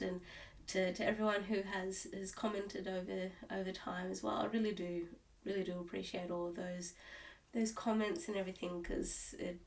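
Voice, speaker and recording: A woman's voice: medium pitch, far from the mic. An Australian woman vlogging about cross-stitch in a casual, rambling way, sounding nasal and stuffed up while recovering from illness, talking at home. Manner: rambling, with many restarts and fillers. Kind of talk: casual chat to camera. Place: at home, indoors.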